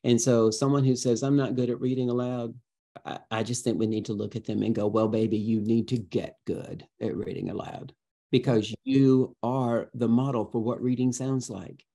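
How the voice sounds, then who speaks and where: A man giving conversational interview answers as a guest educator, expressive and emphatic like a storyteller, acting out his examples. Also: medium pitch, normal speed, creaky.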